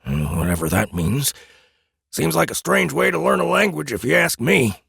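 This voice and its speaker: A man playing a gruff, wisecracking old man in a fantasy audiobook, with a folksy, grumbling, irreverent way of talking. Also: a little high, very animated, normal speed, fairly bright tone, no room sound, studio quality, pitched above the performer's own voice, full character voice. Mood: dismissive. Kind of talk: fantasy audiobook character acting.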